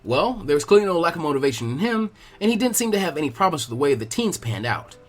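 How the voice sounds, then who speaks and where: A man narrates a story at a brisk clip, in a fairly high, animated voice. His tone is somewhat husky, and music plays behind the reading. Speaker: fan fiction reader.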